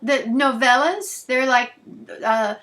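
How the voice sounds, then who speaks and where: A woman's voice: sits high, slow pace, a bit bright. A woman, asking questions conversationally as an interviewer.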